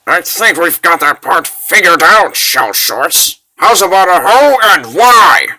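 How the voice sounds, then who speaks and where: Man doing a cocky, bright-edged old wizard. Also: high voice, very animated, slow, voice pushed up, heavily acted. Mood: wry, bossy. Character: cocky, grumbling old male wizard adventurer.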